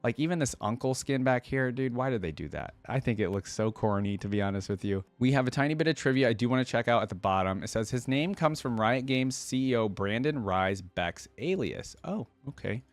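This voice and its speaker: A man's voice: medium pitch, expressive, brisk, few pauses, no room sound. A man hosting a reaction video, reading text aloud and commenting on it in a casual, informal way. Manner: informal, addresses viewers as guys and dude.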